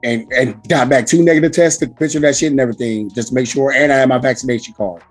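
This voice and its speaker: A man hosting a casual podcast chat with a hoarse, raspy voice from screaming, talking loudly and emphatically with lots of swearing. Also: medium pitch, fast talker, faint music behind. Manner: loud, profane, emphatic. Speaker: podcast host.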